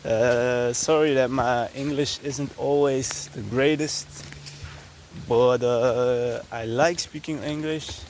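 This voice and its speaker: Slow-talking man. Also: medium pitch, steady tone, darkish tone, a little hiss or hum. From Belgium. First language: Dutch. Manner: chatty and unhurried, with many fillers and restarts. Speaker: vlogger. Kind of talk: casual travel vlog. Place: outdoors on a rural hiking trail. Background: faint outdoor ambience.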